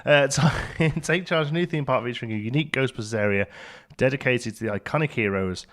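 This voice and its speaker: A man's voice: medium pitch, fast talker, fairly bright tone. A British man hosting a let's play, chatting casually and wryly as he thinks aloud. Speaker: gaming video host.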